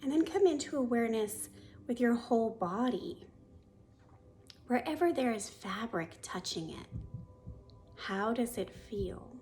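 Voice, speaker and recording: Woman, yoga teacher, guided meditation, soft and breathy, slow pacing, gentle instruction, background ambience. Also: on the high side, animated, a little hiss or hum. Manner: soothing, unhurried, gently instructive.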